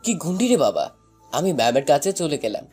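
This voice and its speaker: A young man narrating a scripted first-person love story in an expressive, dramatized way. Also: on the high side, a little fast, breathy edge. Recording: music bed under the voice. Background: background music.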